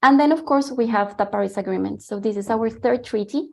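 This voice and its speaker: A woman from Colombia, Spanish her first language, lectures in accented English over a video call. She talks in a brisk, explanatory way with small ums along the way. Her voice sits somewhat high. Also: vocal fry, a little mellow. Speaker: guest lecturer, former climate negotiator. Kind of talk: online lecture with shared slides.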